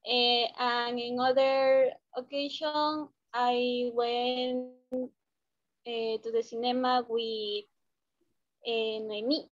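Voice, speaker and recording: A woman's voice: on the high side, level tone, drawn-out words. A young woman from Ecuador with an Ecuadorian Spanish accent, a native Spanish speaker answering interview questions in English, hesitant and full of fillers. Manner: hesitant, many fillers and restarts. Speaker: interviewee, university student. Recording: dull lo-fi sound.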